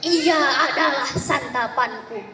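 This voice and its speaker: A woman voicing a boastful man who poses as a fearsome giant in a folk tale, with slow laughs and threats. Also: sits high, pushed and bright, slight background noise, heavily acted. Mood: boastful, threatening. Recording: echoey hall, distant microphone.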